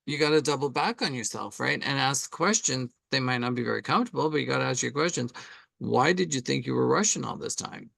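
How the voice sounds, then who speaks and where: A middle-aged man answering interview questions in an animated, conversational way, often checking in with "right?" between points. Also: mid-range voice, fairly quick, gravelly, no room sound, studio quality. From Canada. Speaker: artist interviewee.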